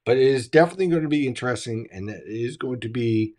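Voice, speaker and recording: A man who hosts a live weather chat, teaching how storms build and answering viewer questions, his voice going hoarse. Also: medium pitch, expressive, fast, warm tone. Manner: explanatory, teacherly, asks "right?" often. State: losing his voice. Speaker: meteorologist hosting a live chat. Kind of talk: live-stream weather explanation and Q&A.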